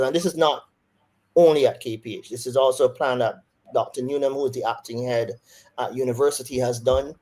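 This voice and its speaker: A man's voice: mid-range voice, a little mellow. A man with a Barbadian accent, a surgeon answering interview questions thoughtfully, with frequent restarts.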